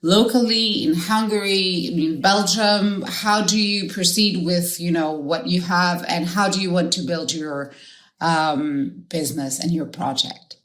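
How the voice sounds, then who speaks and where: A woman's voice: fairly low pitch, measured pace, fairly bright tone, echoey room. A woman hosting a podcast interview, talking in a loose, rambling way with lots of fillers. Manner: rambling, many fillers like "like" and "you know". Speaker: podcast host. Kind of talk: casual interview.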